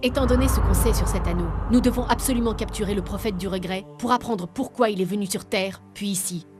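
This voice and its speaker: A woman's voice: medium pitch, fast talker, over music, lightly acted. A woman playing a commanding military starship commander in a video game, speaking in an authoritative, businesslike way. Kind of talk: dramatic video game acting.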